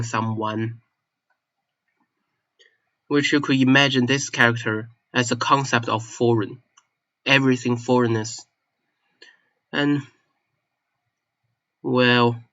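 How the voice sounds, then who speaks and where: A man's voice: medium pitch, a bit bright. A young man, a native Chinese speaker, giving a casual explanatory monologue in a hesitant, self-correcting way. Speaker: channel host. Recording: slightly dull lo-fi recording.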